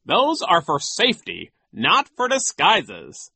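A man's voice: sits high, wildly swooping pitch, takes it slowly, vocal fry, bright, forceful tone, slightly rough, no room sound, voice pushed up, heavily acted. A man voicing a vain, pompous and nasty male school vice principal in a sneering, mocking manner for an audiobook. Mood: scornful. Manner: sneering, self-important, mocking.

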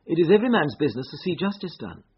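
A man's voice: a little high, sing-song, racing, breathy edge, highs cut off, voice pushed up, exaggerated voice. A man voicing an affable, probing detective in an audiobook, precise, courteous and insistent in his questions. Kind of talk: audiobook character voice.

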